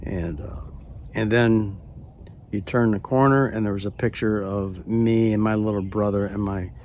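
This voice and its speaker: A man in his late seventies telling a personal story in a hesitant way, with many pauses, and often dropping to a near-whisper. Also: on the low side, fairly even intonation, darkish tone, slight background noise. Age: late 70s. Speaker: storyteller recounting a personal experience. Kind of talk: unhurried spoken personal narration.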